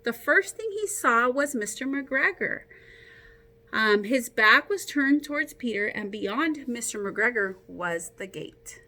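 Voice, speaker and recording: A woman teacher reading a story aloud to children, expressive and encouraging, with casual asides to her young listeners. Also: a little high, slow pace, slight background noise.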